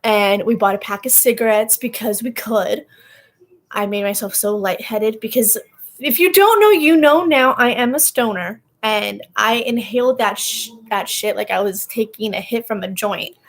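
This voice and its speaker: A young woman in her twenties telling a personal story in casual chat, animated, laughing and going off on tangents. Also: mid-range voice, moderate pace. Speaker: vlogger.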